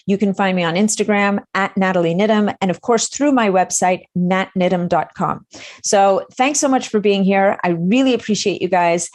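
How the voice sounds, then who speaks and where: A woman's voice: mid-range voice, moderate pace, a little mellow. A woman hosting a podcast, chatting upbeat with her listeners and guest. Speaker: podcast host. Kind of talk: podcast interview.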